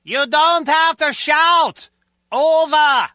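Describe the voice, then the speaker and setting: A man's voice: very high-pitched, drawn-out words, sharp tone, dry recording, clean studio sound, heavily acted. An actor playing a petulant, suspicious and literal-minded male lab assistant in a comic video game, talking in a stilted, insistent way.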